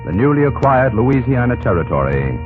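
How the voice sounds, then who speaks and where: An actor as the solemn narrator of an old-time radio history drama, emphatic and portentous. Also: male voice, mid-range voice, big pitch swings, loud music behind the voice, lightly acted. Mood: grand. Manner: emphatic, portentous, deliberate phrasing. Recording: tinny old radio recording.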